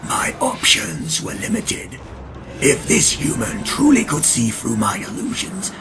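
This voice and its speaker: Male contemptuous vampire avenger for audio drama: low, gravelly, slow and theatrical, swooping in pitch, over music. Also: noisy background, full character voice. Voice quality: gravelly, creaky. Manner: brooding, theatrical, drawn-out delivery. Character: proud male vampire hunter, grim, vengeful and contemptuous. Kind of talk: dramatic first-person narration in an audio drama. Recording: music under the voice.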